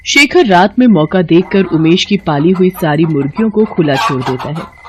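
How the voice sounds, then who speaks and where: A woman with a slightly husky voice reading a scripted moral tale as a storyteller. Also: on the low side, lively intonation, moderate pace, a little mellow, a little hiss or hum.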